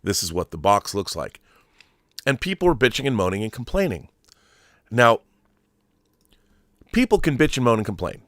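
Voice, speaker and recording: Animated middle-aged man giving opinionated film commentary. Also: on the low side, no echo, studio quality. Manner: emphatic, deliberate, with stressed pauses and repeated phrases. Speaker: host of a film commentary show. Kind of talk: opinionated monologue to viewers about film collectibles.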